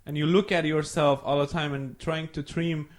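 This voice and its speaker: A man's voice: on the high side, level tone, normal speed. A young man from Iran whose first language is Farsi, a podcast guest talking casually and searching for his words in English. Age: young adult. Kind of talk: casual chat.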